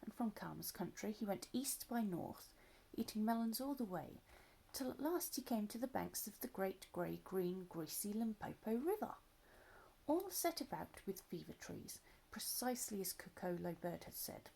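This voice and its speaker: Expressive woman reading a children's story. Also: mid-range voice, normal speed, vocal fry, breathy edge, poor audio. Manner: expressive, lively voices, drops to soft asides. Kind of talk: children's story read-aloud.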